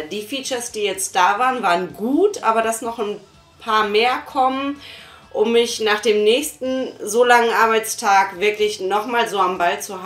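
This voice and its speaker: A woman's voice: medium pitch, a bit bright. A young adult woman chatting casually as a reviewer, talking from a sofa in a small living room. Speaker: audio drama reviewer and interviewer. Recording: roomy echo, music behind.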